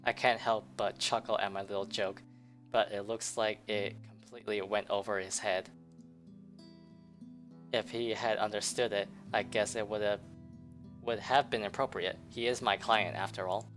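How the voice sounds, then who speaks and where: A woman reading a visual novel aloud in a game playthrough, voicing the inner narration with quick reactions. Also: extremely low pitch, steady tone, soft background music. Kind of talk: let's play narration.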